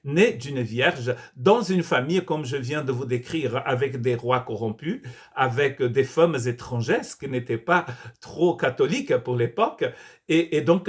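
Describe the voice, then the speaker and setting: Male pastor, Brazilian-born, earnest sermon delivery with frequent restarts, medium pitch. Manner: earnest preaching with frequent restarts and repeated words. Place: church.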